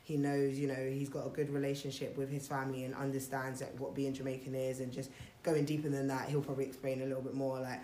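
A woman's voice: very deep voice, level tone, fast talker, few pauses, dark tone, slight background noise. Reflective young woman in a roomy space. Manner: reflective, thinks aloud with many fillers. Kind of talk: casual group chat. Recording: roomy echo.

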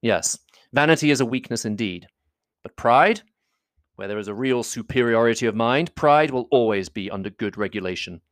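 A man voicing a proud, reserved young gentleman, speaking in a measured, grave way. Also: medium pitch, lively intonation, dry recording, clean studio sound, natural voice. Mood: earnest.

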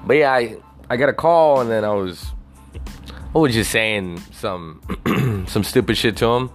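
A man's voice: mid-range voice, measured pace, faint music behind. A man on a casual, rambling rant, swearing constantly and leaning on "you know" fillers.

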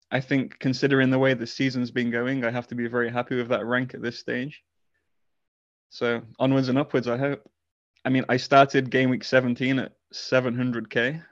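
A modest man talks as a podcast guest in an even, measured way. His voice stays flat, and the recording sounds dull and dry, like a remote line. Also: medium pitch, no echo. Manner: modest, measured, chuckles often. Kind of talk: casual podcast interview.

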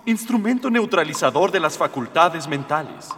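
A man's voice: fairly high pitch, animated, moderate pace, a bit bright, big-room sound. A man playing a gallant, idealistic young alien rebel prince in a comic radio drama, earnest and formal. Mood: lofty, earnest.

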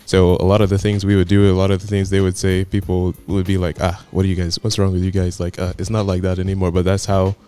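A man in his twenties, a podcast guest telling his story in a laid-back, chatty way with soft laughs. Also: low voice, monotone, quick pace, hardly any pauses, smooth, soft background music. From United States. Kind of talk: casual chat.

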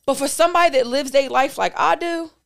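A woman on a casual podcast chat, talking candidly and profanely in animated stories. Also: sits high, quick pace, creaky, no room sound, clean studio sound. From Puerto Rican family.

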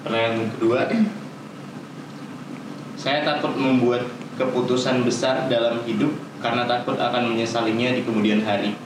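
A man hosting a discussion, reading out questions in a measured way. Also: mid-range voice, level tone. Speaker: host, question reader. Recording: very echoey, distant, noisy room.

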